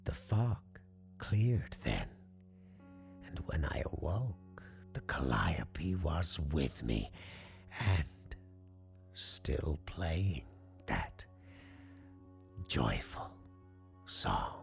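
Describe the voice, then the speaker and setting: An obsessive circus showman , acted out by a male narrator, in a dark audiobook. The voice is low and gravelly, swooping theatrically as he lingers rapturously over each word. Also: very animated, extremely slow, long pauses, faint music behind, full character voice. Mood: dreamy, obsessive. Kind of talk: audiobook character acting.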